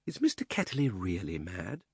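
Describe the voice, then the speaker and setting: A man voicing a young girl who is curious and proper, with a husky edge to the voice. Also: deep voice, wildly swooping pitch, moderate pace, a little mellow, no room sound, clean studio sound, full character voice. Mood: earnest. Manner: prim, indignant retorts.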